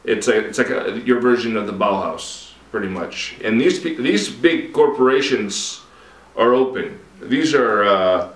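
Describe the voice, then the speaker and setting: A man's voice: mid-range voice, measured pace. A man chatting casually, hesitating often with ums and restarts. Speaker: conversation partner. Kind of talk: casual conversation. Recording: echoey room.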